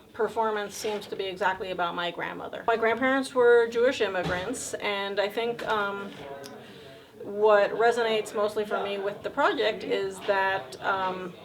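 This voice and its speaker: Thoughtful, hesitant woman, medium pitch. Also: measured pace, low-quality recording. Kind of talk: reflective interview.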